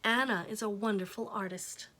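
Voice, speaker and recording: A woman's voice: mid-range voice, fairly even intonation, moderate pace, fairly bright tone, natural voice. A woman reading aloud, voicing a friendly mother patiently explaining things to children.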